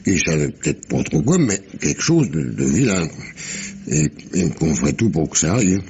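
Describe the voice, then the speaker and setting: An actor playing a rough, tipsy sailor spinning a sea yarn in slangy, rambling, halting speech, in 1950s French radio mystery style. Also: male voice, rumbling low, very animated, darkish tone, lo-fi sound, a little hiss or hum, full character voice. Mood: evasive, confiding.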